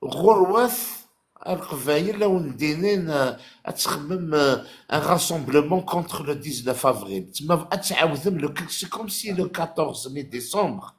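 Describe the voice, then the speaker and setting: A man joining a live stream as a guest, speaking haltingly. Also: on the high side, lively intonation.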